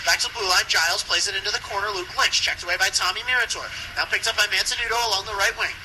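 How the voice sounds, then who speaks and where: A man doing live play-by-play commentary of an ice hockey game for radio, following the action briskly. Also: high-pitched, pushed and bright. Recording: noisy live radio sports broadcast.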